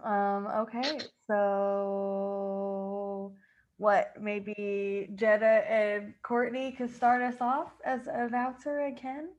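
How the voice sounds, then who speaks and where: A woman's voice: mid-range voice, level tone, takes it slowly, muffled recording. A woman improv performer chatting casually and loosely. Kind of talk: casual chat.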